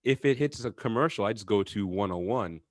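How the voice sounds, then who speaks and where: Lower-pitched man, jokey podcast banter. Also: lively intonation, fairly quick, no echo, studio quality. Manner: jokey, laughs often. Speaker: podcast co-host. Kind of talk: casual chat.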